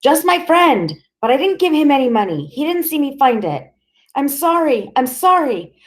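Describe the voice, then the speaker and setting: A woman's voice: animated, a little slow, a little mellow, natural voice. A woman voicing a terrified street boy begging and sobbing through a police interrogation. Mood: screaming. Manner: desperate, shrill, sobbing.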